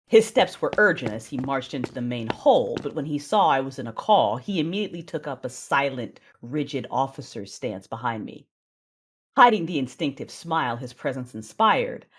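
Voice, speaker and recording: A woman narrating an audio drama in the first person, with a deliberate, theatrical delivery. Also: extremely low pitch, husky.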